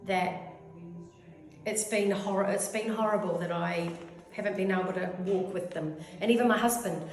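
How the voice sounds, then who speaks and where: Woman, 50s, New Zealand accent, mid-pitched, rambling and effusive client testimonial, heavily echoey room. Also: moderate pace, darkish tone. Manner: rambling, effusive, goes off on tangents. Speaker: clinic client giving a testimonial. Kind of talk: casual testimonial interview. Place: clinic room.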